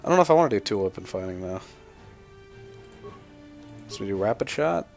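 A young male gamer talks casually over his game. His voice is low and creaky, with music behind it. He rambles irreverently and swears freely. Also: animated, brisk, darkish tone. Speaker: gamer, let's player. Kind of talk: casual gameplay commentary.

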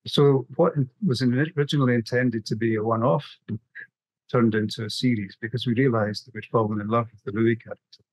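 A man's voice: medium pitch, fairly even intonation, fairly quick, dry recording, low-quality recording. A middle-aged man with a Scottish accent, presenting a prepared webinar talk over a video call. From west coast of Scotland. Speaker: graphic novelist, webinar presenter.

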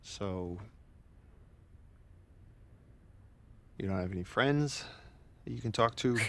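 A man's voice: lowish voice, moderate pace, fairly bright tone. An actor playing a concerned, awkward mentor in a cinematic video game, earnest and hesitant in his delivery. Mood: gentle, concerned. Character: concerned, awkward adult male mentor with a secret plan.

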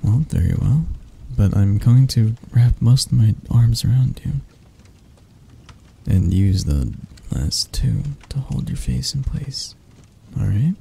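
A man playing a seductive, doting yet predatory castle monster in an intimate ASMR roleplay, close to the mic with a husky, gravelly voice and a slow, teasing manner. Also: medium pitch, lively intonation, exaggerated voice. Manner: slow, teasing, coaxing, with soft chuckles. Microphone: close microphone.

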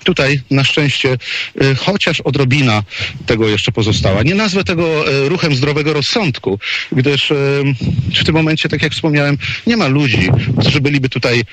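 A man's voice: a little high, normal speed, a bit bright, a little hiss or hum, rough recording. A man working as a radio correspondent, giving opinionated live commentary in a hesitant, wordy way.

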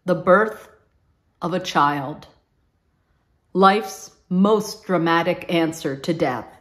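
A woman in her sixties giving a solemn, deliberate reading of a devotional poetic text. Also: on the low side, fairly bright tone. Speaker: congregation member, reader. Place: indoors at home, video recording by a piano.

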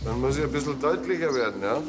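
A man's voice: medium pitch, animated, fast talker, lightly acted. A man playing a cocky, pushy character. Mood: menacing. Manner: pushy, insinuating.

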